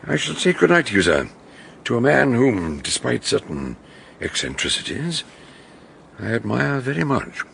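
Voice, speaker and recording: An actor playing an eccentric, wordy older British detective doctor in a radio drama, genial and courteous, with a gravelly, husky voice. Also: male voice, medium pitch, very animated, a bit bright, a little hiss or hum, heavily acted. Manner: wordy, deliberate, old-fashioned courtesy.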